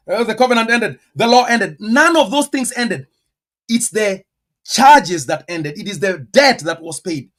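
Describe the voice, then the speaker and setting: A man with a Kenyan accent preaching a teaching sermon, stressing words and firing rhetorical questions, with sudden loud bursts and quiet asides. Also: high voice. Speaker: pastor.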